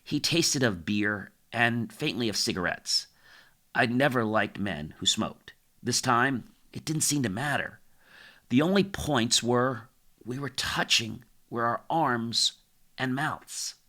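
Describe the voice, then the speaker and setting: A man reading first-person fiction as an audiobook narrator, with expressive, measured phrasing. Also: medium pitch.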